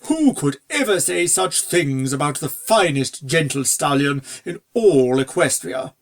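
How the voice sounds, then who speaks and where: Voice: mid-range voice, lively intonation, measured pace. A reader voicing a fussy, servile male pony butler in a storybook, formal, hesitant and deferential. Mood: horrified, fawning. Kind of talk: storybook character dialogue.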